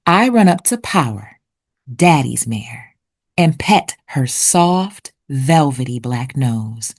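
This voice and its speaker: A woman narrating for children like a teacher, with deliberate, expressive enunciation. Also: very deep voice, slightly rough. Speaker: read-aloud host and narrator. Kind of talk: children's picture book read-aloud with vocabulary teaching.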